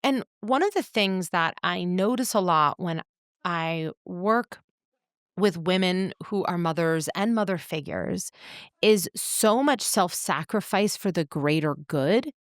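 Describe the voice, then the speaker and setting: A woman co-hosting a podcast, speaking in a measured, articulate way as she reflects and analyzes. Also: on the low side, animated, no room sound, clean studio sound. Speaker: podcast co-host.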